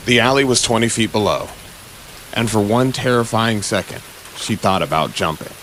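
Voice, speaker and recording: A man's voice: on the low side, normal speed, fairly bright tone, slight background noise, poor audio. A man narrating an audio drama in a suspenseful, dramatic scripted style, with short punchy phrases.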